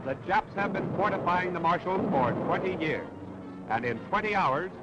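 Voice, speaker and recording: A man's voice: high-pitched, lively intonation, normal speed, over loud music. An American man reading a scripted wartime newsreel in a dramatic, declamatory 1940s style. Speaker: newsreel narrator. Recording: dull, very noisy 1940s newsreel soundtrack.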